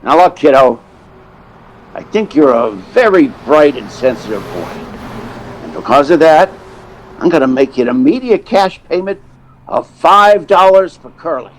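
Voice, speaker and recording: Theatrical agent for radio drama, male actor, highish pitch, sentimental and wheedling, some background noise. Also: lively intonation, unhurried, low-quality recording. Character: sentimental, fast-talking male theatrical agent telling his story. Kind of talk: old-time radio drama, narration and dialogue.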